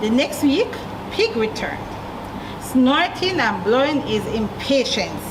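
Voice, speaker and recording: Jamaican woman, library storyteller for children, slow, deliberate emphasis, echoey room, distant mic with background noise. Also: fairly high pitch, sing-song. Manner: deliberate emphasis, questions to young listeners. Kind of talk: children's story read aloud.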